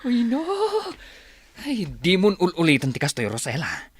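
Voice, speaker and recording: A man playing a high-pitched, scolding elder brother. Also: wildly swooping pitch, unhurried, slightly rough, no echo, full character voice. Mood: scolding, exasperated. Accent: Ilocano. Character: scolding, exasperated elder brother, bluntly disapproving. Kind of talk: radio drama acting.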